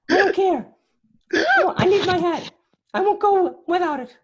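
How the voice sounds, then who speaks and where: A woman's voice: very high-pitched, animated, unhurried. An actress playing a stubborn, insistent, whiny woman in a radio play, on a dull lo-fi home microphone. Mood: stubborn, pleading. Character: stubborn, whiny superstitious young woman drug courier.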